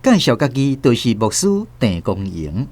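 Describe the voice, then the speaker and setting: A man's voice: medium pitch, big pitch swings, moderate pace, darkish tone. A man with a Taiwanese accent narrating a radio drama as a scripted, dramatic storyteller.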